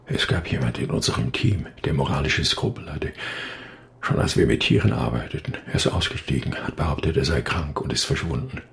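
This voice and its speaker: An actor playing a guarded scientist in a radio drama, hesitant and evasive, speaking in clipped phrases. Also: male voice, a bass voice, animated, brisk, vocal fry, exaggerated voice. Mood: secretive, uneasy. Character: guarded male scientist confessing uneasily to dark secrets.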